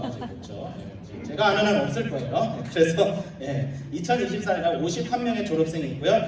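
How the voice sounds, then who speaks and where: Fast-talking man presenting in an echoey room. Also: high-pitched. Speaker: school representative presenting at an admissions briefing. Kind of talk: formal slide presentation to a live audience. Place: large echoey room, live audience. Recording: roomy echoing hall, distant mic, clear noise.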